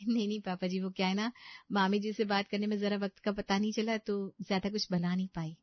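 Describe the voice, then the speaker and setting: A woman's voice: mid-range voice, little pitch movement, fairly quick, dry recording, muffled recording, close to an everyday voice. A woman voicing a devoted, cheerful young daughter-in-law in a story, polite, reassuring and eager to please. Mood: explaining.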